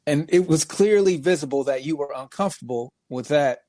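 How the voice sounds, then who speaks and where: A man's voice: a little high, a little fast, dark tone, no echo, studio quality. A man who is a guest on a podcast, talking in an emphatic, explanatory way in casual discussion.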